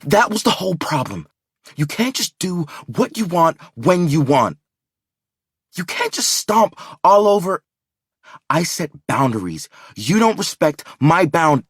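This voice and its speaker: A man's voice: medium pitch, animated, a little slow, slightly rough, no echo, clean studio sound, voice pushed up. A man voicing an exhausted, angry woman who argues over the phone, breathless and strained. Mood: agitated.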